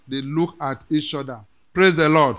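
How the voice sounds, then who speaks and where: A man's voice: on the high side, fairly even intonation, normal speed, a bit bright. A middle-aged man preaching a sermon at a podium in a church hall, quoting scripture and asking the congregation rhetorical questions. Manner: emphatic, quotes scripture in King James wording, asks rhetorical questions. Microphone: podium mic.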